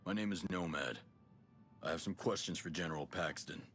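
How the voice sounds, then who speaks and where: An actor playing a calm special forces soldier in a video game, low-key, reassuring and businesslike. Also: male voice, moderate pace, soft background music. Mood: steady, direct. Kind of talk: video game cutscene acting.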